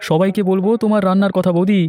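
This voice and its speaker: A man acts out a friendly village diner for a cartoon. The voice is high for a man and soft-edged, rattling along very fast. The manner is effusive and full of flattery. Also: faint music behind, close to an everyday voice. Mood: effusive, pleased. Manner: effusive, chatty. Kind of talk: cartoon dubbing.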